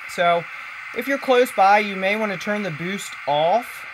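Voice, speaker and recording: A man's voice: sits high, a little slow. A man giving a casual, conversational hands-on tech tutorial, explaining as he goes. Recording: clearly noisy home-video recording.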